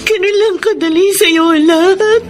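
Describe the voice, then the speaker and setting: A lovelorn young Filipino woman with a very high voice, fragile and pleading. She speaks haltingly in radio drama style, with music running underneath. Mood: hurt, pleading. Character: tearful, lovelorn young woman afraid of marriage.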